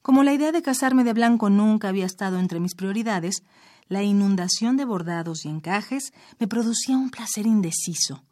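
A woman reading her own short story aloud in a measured, expressive storytelling manner. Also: mid-range voice, no echo, studio quality.

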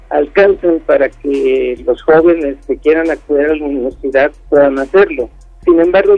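Professor, dull dry lo-fi sound. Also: male voice, fairly high pitch, slightly rough, no room sound. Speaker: university professor and researcher. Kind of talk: interview answers.

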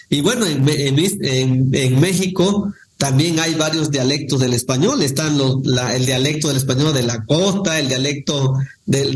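A man, a Nahuatl speaker from Guerrero, reading poems and explaining language in an unhurried way, with many pauses and fillers. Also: fairly high pitch, poor audio. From Guerrero, Mexico. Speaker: guest poet. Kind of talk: poetry reading and casual conversation.

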